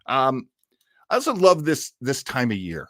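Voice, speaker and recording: A man hosting an old time radio livestream in a casual, chatty, enthusiastic way, often rambling and pausing to search for words. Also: mid-range voice, huge pitch swings, a bit bright, no echo, studio quality.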